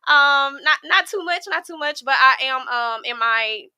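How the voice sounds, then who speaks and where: An energetic woman in her twenties with a Southern American accent, speaking with emphasis as a podcast guest. Her voice is pitched a little high and sounds very bright and forward. The recording is dry, with the mic a little far from her mouth. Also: a little slow, dry recording. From Arkansas, USA. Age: 20s. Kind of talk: casual interview chat. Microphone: mic at some distance from the mouth.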